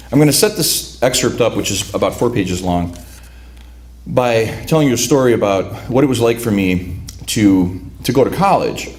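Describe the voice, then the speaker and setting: A man's voice: on the low side, a little mellow. A man reading aloud from his own prose at a literary event, speaking reflectively and often restarting his sentences. His first language is Lithuanian. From United States, raised among Lithuanian migrants. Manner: reflective, halting with repeated restarts. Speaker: author.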